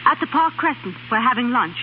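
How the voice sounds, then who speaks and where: An actress playing an anxious but stubborn young wife in a 1930s radio drama. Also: female voice, fairly high pitch, expressive, brisk, natural voice. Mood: uneasy, reserved. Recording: muffled, noisy 1930s radio recording.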